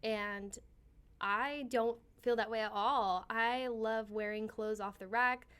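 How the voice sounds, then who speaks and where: A woman's voice: a little high, fairly even intonation, slow pace, a bit bright, clear, resonant tone. A young adult woman from San Jose, California, speaking as an interview guest in an articulate, upbeat, self-assured way. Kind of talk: casual interview chat.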